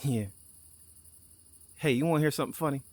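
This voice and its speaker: A man's voice: on the high side, fairly quick, dry recording, natural voice. A man playing an easygoing, teasing, candid crewmate on a mission in a naturalistic audio drama, talking casually. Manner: casual, conversational.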